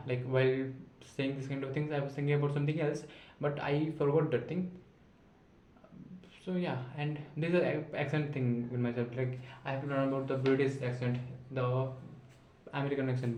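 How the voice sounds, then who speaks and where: A man's voice: mid-range voice, steady tone, moderate pace, darkish tone. Nineteen-year-old man, unscripted self-talk vlog, rambling and self-encouraging, echoey roomy recording. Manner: rambling and self-encouraging, thinking aloud with frequent restarts. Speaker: vlogger practising spoken English.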